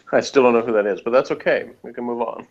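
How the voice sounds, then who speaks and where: A man's voice: medium pitch, normal speed. A man reacting casually to a TV episode, wry and bluntly opinionated, in slightly muffled sound. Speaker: co-host of a TV episode review commentary.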